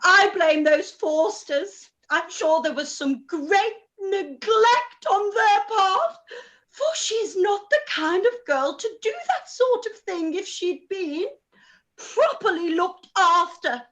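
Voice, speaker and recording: A woman's voice: very high voice, lively intonation, slow, fairly bright tone, exaggerated voice. A woman playing a hysterical, self-pitying middle-aged mother in a period radio play, wailing and gasping melodramatically. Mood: tearful panic. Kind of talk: live-read radio play acting of period drama.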